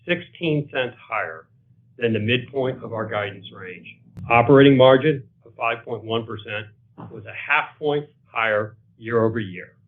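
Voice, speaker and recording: A man's voice: medium pitch, moderate pace, slight background noise. Male finance executive, mid-range voice, formal scripted figures, narrow phone audio. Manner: formal, matter-of-fact. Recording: narrow muffled conference-call phone audio.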